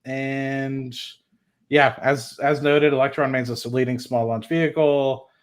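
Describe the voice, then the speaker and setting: Male host, medium-pitched voice, casual live commentary, reading and reacting in an informal thinking-aloud way. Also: steady tone, measured pace. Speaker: host of an investing livestream.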